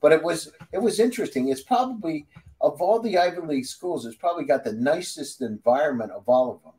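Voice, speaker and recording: Mellow-voiced man hosting a chatty interview. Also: fairly high pitch, lively intonation, fairly quick, poor audio. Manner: chatty, storytelling, friendly asides. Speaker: interview host. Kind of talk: casual interview conversation.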